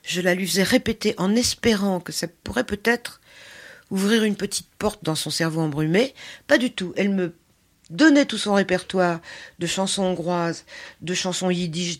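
An older woman, telling personal stories as an interview guest in an expressive, emphatic way. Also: fairly low pitch, moderate pace. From Paris, France. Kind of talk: conversational personal storytelling.

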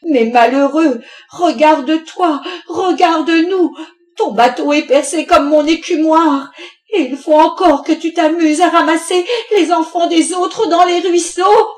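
A woman voicing a bargeman's angry, sharp-tongued wife in a storybook reading, scolding and commanding with indignation. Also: high voice, measured pace, voice pushed up. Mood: furious.

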